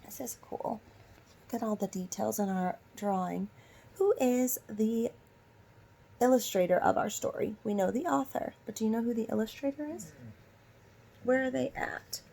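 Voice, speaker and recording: A woman's voice: on the high side, moderate pace, gravelly, long pauses, warm tone, a little hiss or hum. A woman teacher doing a storybook read-aloud for young children, instructing and asking them direct questions. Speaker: elementary school teacher.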